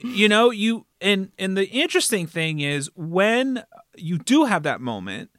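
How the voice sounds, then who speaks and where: A man speaking as a podcast guest in casual conversation, with emphatic, halting phrasing. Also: high-pitched, very animated, fairly bright tone, dry recording, studio quality. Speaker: podcast guest, fiction podcast producer.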